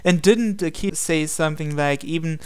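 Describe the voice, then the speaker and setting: A man reads visual novel narration aloud as a gaming host. His voice sits a little high for a man and is very clean and smooth. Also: normal speed.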